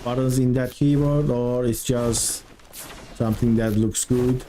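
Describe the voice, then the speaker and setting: A man with a heavy Turkish accent talks slowly and evenly, his tone staying flat and mellow. He chats with his viewers while he plays, his English halting as he searches for words. Faint noise hums underneath. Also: medium pitch. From Turkey. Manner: chatty, answers viewers, halting non-native English. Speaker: game streamer. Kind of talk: live stream chat while playing.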